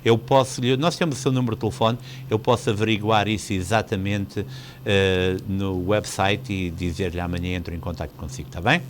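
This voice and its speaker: A man's voice: lowish voice, steady tone, brisk, slight background noise. A man with a European Portuguese accent hosting a live phone-in show, courteous and chatty, dropping in the odd English word. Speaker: call-in show host.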